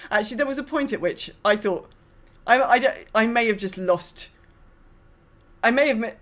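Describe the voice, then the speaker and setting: A woman's voice: on the high side, normal speed, fairly bright tone. Rambling woman co-host chatting casually about games. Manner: rambling, self-correcting, quotes herself in asides. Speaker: podcast co-host. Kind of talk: casual chat about gaming.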